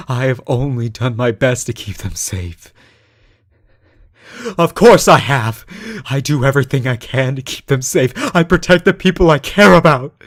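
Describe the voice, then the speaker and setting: A man playing a lovesick, anguished captive ruler in a fantasy romance audio drama, halting and often dropping toward a whisper. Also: medium pitch. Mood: defensive, impassioned. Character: captive reckless but benevolent male ruler, lovesick and anguished. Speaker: audio roleplay performer.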